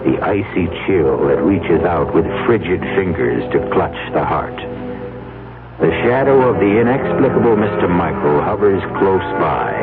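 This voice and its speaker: A man playing an ominous storyteller who narrates a suspense radio drama in a theatrical, deliberate way. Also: low-pitched, phone-quality sound. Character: ominous male storyteller hosting a mystery show. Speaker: host and narrator. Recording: band-limited old radio broadcast, music behind. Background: music.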